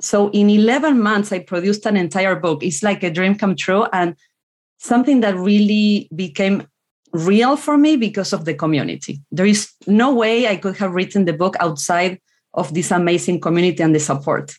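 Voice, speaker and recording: A woman from Argentina speaks English with an Argentine Spanish accent, since Spanish is her first language. She answers interview questions earnestly and thoughtfully, sometimes restarting a phrase. Her voice sits at an ordinary middle pitch for a woman. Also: normal speed, a little mellow. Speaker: author guest.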